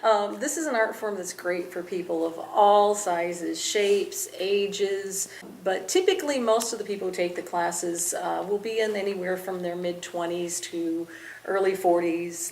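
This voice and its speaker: A woman's voice: on the low side, unhurried, darkish tone, slight background noise, rough recording. A middle-aged woman who teaches belly dance, answering interview questions in a chatty, self-deprecating way and laughing often.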